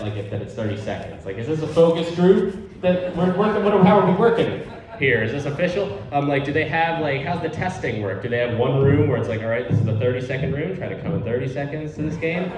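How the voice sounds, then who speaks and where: A man's voice: medium pitch, expressive, a little fast, very echoey, a crowd in the background, low-quality recording. A man doing a stand-up comedy set in a rambling, profane, conversational way in a live venue. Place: live comedy show, on stage.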